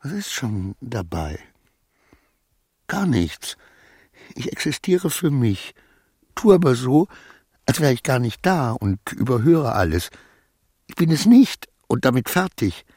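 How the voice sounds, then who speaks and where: An actor playing a wronged, anxious petty clerk in a radio play, protesting formally and fretting to himself. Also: male voice, mid-range voice, sing-song, takes it slowly, long pauses, a little mellow, husky, no echo, studio quality, heavily acted. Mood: agitated self-persuasion. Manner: stiffly formal protests, agitated self-justifying muttering. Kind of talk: German radio play acting.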